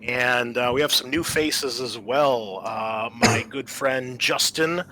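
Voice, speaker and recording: A man's voice: medium pitch, slow, very noisy. A man hosting a tabletop roleplaying show as game master, chatting casually and introducing players in an announcer-like way.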